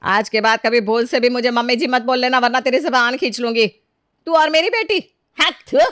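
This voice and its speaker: A woman's voice: fairly high pitch, a little fast, a bit bright, dry recording, studio quality, pitched above the performer's own voice, close to an everyday voice. A woman voicing a harsh, scornful middle-aged mother-in-law who scolds and orders her maid about in a cartoon story. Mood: bossy, threatening. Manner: scolding, commanding, sarcastic. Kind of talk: Hindi cartoon story character dialogue.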